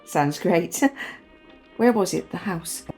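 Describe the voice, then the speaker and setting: An actress playing a wry, sharp, defiant woman in a naturalistic radio play. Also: lowish voice, slow, faint music behind. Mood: sociable. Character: adult woman, wry, sharp, defiant, confessing to her partner. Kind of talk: naturalistic radio play acting, dialogue and monologue.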